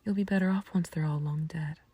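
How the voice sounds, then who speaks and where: A woman playing a calm, taunting villain in an intimate roleplay audio, speaking in a breathy near-whisper with unhurried condescension and mock sympathy. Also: low voice. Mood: condescending, coaxing. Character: calm, taunting female villain, menacing yet fond of a friend. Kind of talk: scripted roleplay audio acting, intimate ASMR.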